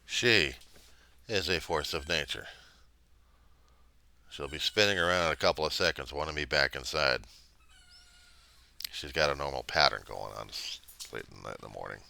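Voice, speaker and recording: A middle-aged American man giving a casual, rambling monologue, halting as he thinks aloud in plain words. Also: very deep voice, creaky, sharp tone. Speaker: vlogger.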